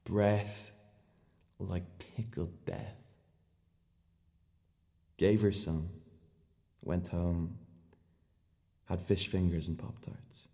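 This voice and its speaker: Deadpan, naive schoolboy played by a man, slow and low. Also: vocal fry, exaggerated voice. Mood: puzzled, matter-of-fact. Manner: clipped, fragmented, deadpan storytelling. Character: naive, earnest, misunderstood seventeen-year-old schoolboy. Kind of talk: radio play monologue. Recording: long hall-like reverb.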